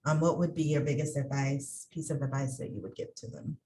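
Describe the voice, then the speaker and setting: A woman's voice: a bass voice, fairly even intonation, fairly quick, darkish tone, slight background noise. A woman coach hosting an interview, affirming her guest often and asking questions. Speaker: host, life coach. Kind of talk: interview conversation.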